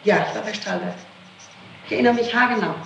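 A woman's voice: mid-range voice, fast talker, gravelly, fairly bright tone, highs cut off. A woman answering questions as a suspect in a formal interrogation, emphatic and defensive. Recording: distant, noisy, echoey archival interrogation tape.

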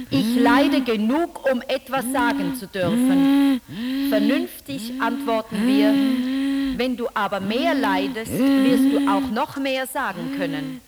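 High-voiced, bitterly ironic woman narrator. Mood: mock earnest. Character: female narrator speaking for women, mock-earnest and cruelly bitter. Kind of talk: experimental radio drama, literary recitation.

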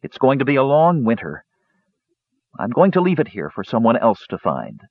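A man's voice: mid-range voice, huge pitch swings, normal speed, husky, no echo, pitched above the performer's own voice, heavily acted. A man voicing an earnest, mischievous young male kender who talks eagerly and pleads to be believed. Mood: wistful. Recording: dull dry recording.

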